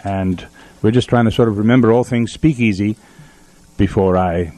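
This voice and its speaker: A man's voice: fairly low pitch, moderate pace, dark tone. A man hosting a community radio show in a casual, conversational style.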